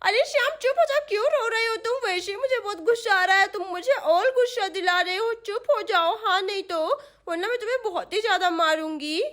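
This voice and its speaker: नखरीली, चालाक छोटी बच्ची की बहुत ऊँची आवाज़ , एक महिला के अभिनय में. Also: female voice, very high voice, moderate pace. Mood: annoyed, scolding. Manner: whiny, pouting. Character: sulky, scheming little girl, whiny and bossy.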